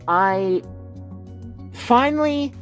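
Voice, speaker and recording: A man's voice: very high voice, expressive, extremely slow, faint music behind. Southern man with a heavy lisp. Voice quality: heavy lisp and speech impediment. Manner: rambling, earnest, with fillers. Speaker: YouTuber. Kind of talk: casual chat to viewers. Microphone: phone.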